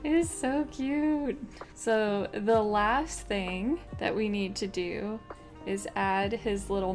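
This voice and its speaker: A woman's voice: medium pitch, vocal fry. A young woman hosting a casual crochet tutorial at home, chatty and upbeat, laughing often. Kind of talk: casual step-by-step craft tutorial. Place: home craft room, indoors.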